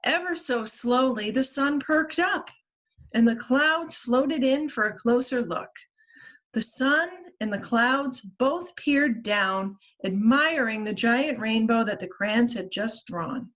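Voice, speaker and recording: A woman reading a children's storybook aloud as a librarian at story time, with expressive, deliberate phrasing. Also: a little high. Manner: expressive, deliberate phrasing with acted-out character lines.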